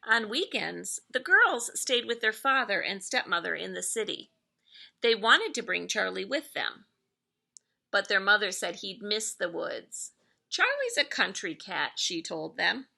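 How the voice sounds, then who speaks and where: Woman, middle-aged, school read-aloud narrator; bright tone with noticeable creak, expressive storytelling delivery. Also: mid-range voice, a little slow. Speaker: elementary school staff member reading aloud to pupils.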